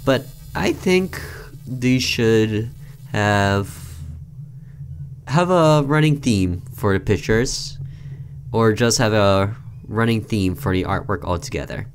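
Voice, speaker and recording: A man's voice: fairly low pitch, slow pace, slight background noise. A man hosting a gaming video, reading a visual novel aloud and commenting casually.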